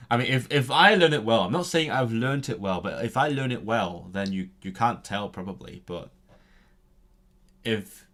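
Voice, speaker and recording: A man's voice: lowish voice, lively intonation, moderate pace, fairly bright tone. Chatty man practicing words on stream. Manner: chatty and self-interrupting, reads out and repeats practice words aloud. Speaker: language learning streamer. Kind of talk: casual live-stream chat while studying.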